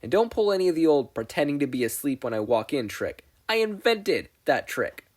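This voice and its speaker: A man's voice: fairly high pitch, very animated, a little fast, a little mellow, pitched above the performer's own voice. A man voicing a stern, nagging mother who scolds firmly but with care in a story reading. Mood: scolding. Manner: scolding, firm.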